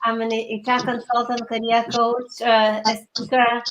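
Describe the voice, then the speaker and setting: A woman from Lithuania with a Lithuanian accent, answering interview questions in an earnest, halting way as a guest. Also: fairly high pitch, completely flat delivery, fairly bright tone, dull audio. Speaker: interview guest, career coach. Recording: echoey room with background noise.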